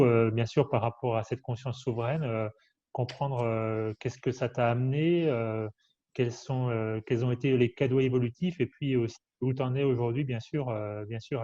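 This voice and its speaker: A man's voice: medium pitch, normal speed, dark tone. Hesitant male host with dull, muffled sound. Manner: hesitant, frequent fillers and restarts. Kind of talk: interview host introduction.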